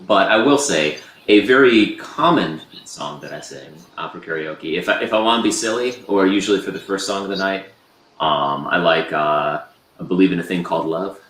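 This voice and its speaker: Deep-voiced man, rambling and relaxed. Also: reverberant. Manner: relaxed, rambling with many fillers. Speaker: guest presenter. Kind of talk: casual video call chat.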